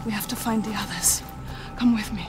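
A woman playing a guarded, determined young warrior in a video game, speaking breathily in short, halting, intense phrases. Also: medium pitch, lightly acted. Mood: wary, determined. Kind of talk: video game dramatic acting. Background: music.

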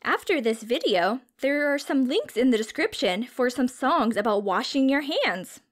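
A woman's voice: high voice, big pitch swings, moderate pace. A woman reading a storybook aloud to young children as a teacher, expressively, with simple questions and explanations.

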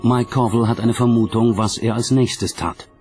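A man narrating a documentary from a script in a measured, dramatic storytelling way. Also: medium pitch, steady tone. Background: background music.

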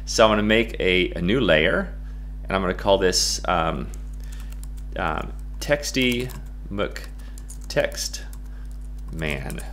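A middle-aged American man giving a casual, playful software tutorial in a goofy, jokey way. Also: low-pitched, expressive, slow, long pauses, slight background noise. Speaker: photo-editing tutorial presenter.

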